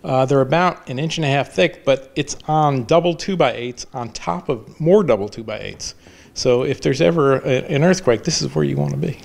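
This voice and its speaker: An older man, a shop owner, chatting casually on camera as he shows his building, halting with lots of fillers and restarts. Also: medium pitch, gravelly. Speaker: shop owner giving a tour. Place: indoors in a trike shop with wooden floors.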